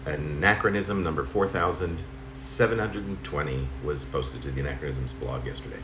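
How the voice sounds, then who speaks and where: A middle-aged or older man reading his own letter-like poem aloud in a halting, conversational way, correcting himself as he goes. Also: rumbling low. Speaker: poet reading own work. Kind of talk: spoken poem reading in the form of a personal letter. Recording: roomy home recording, faint noise.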